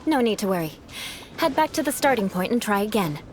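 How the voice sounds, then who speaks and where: A woman's voice: medium pitch, big pitch swings, a little fast, slight background noise, close to an everyday voice. An actress voicing a haughty, elegant young aristocratic woman warrior in a video game, speaking in a formal, condescending, poised way. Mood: cool, measured. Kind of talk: scripted video game character dialogue.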